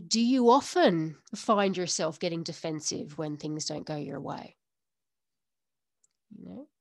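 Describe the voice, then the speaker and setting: A woman's voice: lowish voice, no echo, clean studio sound. A woman hosting a solo podcast, talking earnestly to listeners in a string of repeated rhetorical questions. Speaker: podcast host. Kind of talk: solo podcast coaching talk.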